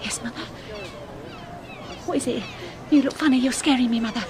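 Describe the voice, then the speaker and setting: Actress as scared young english daughter, high voice, fretful and questioning, radio drama, slight background noise. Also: female voice, normal speed, lightly acted. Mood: frightened, pleading. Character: frightened, questioning grown daughter on the run. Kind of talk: audio drama acting.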